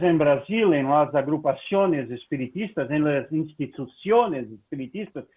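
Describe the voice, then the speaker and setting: A man from Brazil speaking Spanish with a Brazilian Portuguese accent, giving a formal lecture and mixing in Portuguese words. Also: fairly high pitch. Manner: slow, deliberate, mixes Portuguese into Spanish. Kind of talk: formal lecture over video call. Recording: tinny phone-like audio.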